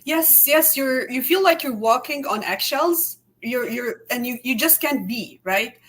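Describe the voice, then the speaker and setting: A woman speaking in a somewhat high voice. She talks earnestly and candidly as a podcast guest, often repeating words and qualifying herself as she goes. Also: expressive, unhurried, a bit bright. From immigrant to Canada. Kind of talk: candid conversational interview.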